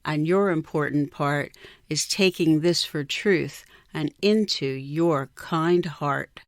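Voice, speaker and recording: A woman's voice: deep voice, takes it slowly, no room sound, clean studio sound. A woman reciting her own rhyming poem as a poet. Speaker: poet reading own work.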